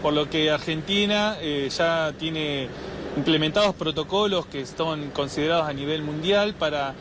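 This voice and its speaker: A man's voice: a little high, moderate pace. A man giving an explanatory interview statement as an official, recorded outdoors. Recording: noisy outdoor urban recording.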